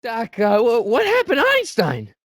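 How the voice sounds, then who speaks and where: An actor playing an excitable, wisecracking American teenage boy from the eighties in a video game, with slangy, youthful delivery. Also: very high-pitched, moderate pace, dry recording, studio quality, exaggerated voice. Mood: startled, incredulous. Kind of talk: animated video game voice lines.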